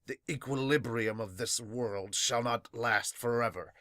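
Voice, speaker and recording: A man's voice: mid-range voice, a little slow, fairly bright tone, dry recording, clean studio sound, close to an everyday voice. A man voicing a haughty government elder with a formal, grave delivery in an amateur manga dub. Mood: grave, ominous. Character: solemn, haughty government elder plotting to cleanse the world.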